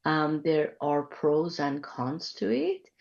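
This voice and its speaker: A woman in her fifties from Iran, speaking as an interview guest, reflective and thoughtful, pausing often with ums. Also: sits low, animated, gravelly, a little mellow, dull audio. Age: 50s. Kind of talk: conversational interview.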